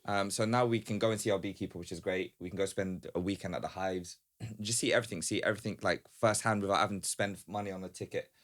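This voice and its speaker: Male podcast guest, informal and talkative, lowish pitch, rapid delivery. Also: steady tone, a bit bright. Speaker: guest, small business founder. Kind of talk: casual podcast conversation.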